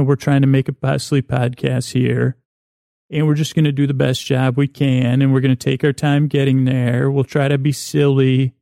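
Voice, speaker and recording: A man hosting a sleep podcast in a soothing, meandering monologue, halting often, repeating words and interrupting himself, with a gravelly voice. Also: medium pitch, mellow, no room sound, studio quality. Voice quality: gravelly, creaky. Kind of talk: rambling, soothing bedtime monologue.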